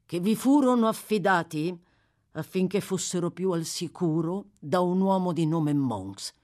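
A woman doing a solemn, stern gentleman. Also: lowish voice, expressive, a little slow. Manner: solemn, insistent.

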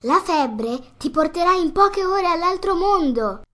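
A woman playing a patient, motherly storybook fairy who gently admonishes in formal phrases. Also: very high voice, lively intonation, measured pace, full character voice. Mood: grave, warning. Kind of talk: acted storybook dialogue.

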